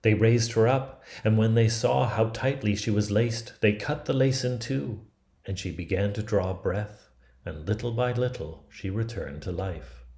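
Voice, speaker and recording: Slow, lowish-voiced man reading a fairy tale. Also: darkish tone, echoey room. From Canada. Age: 50s. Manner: deliberate, dramatic phrasing. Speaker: storybook reader.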